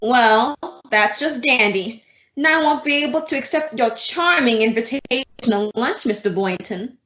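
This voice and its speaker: A wisecracking schoolmarm acted by a woman from a radio comedy, dry and sardonic in her delivery. Her voice is high and bright, in a roomy recording. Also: animated, normal speed. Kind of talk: old-time radio comedy acting. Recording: roomy, dull lo-fi sound.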